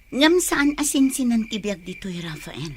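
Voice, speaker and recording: A woman's voice: on the high side, big pitch swings, a little slow, slight background noise. A woman with an Ilocano accent playing a cautious elder-sister figure in a radio drama, serious and probing. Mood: doubtful, testing. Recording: music behind, insect ambience.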